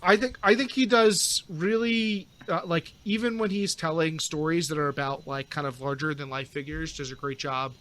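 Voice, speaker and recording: Guy w/ a high voice just chatting, thinks out loud, jokey. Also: fairly even intonation, normal speed, few pauses. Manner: thinks aloud, joking. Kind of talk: casual chat.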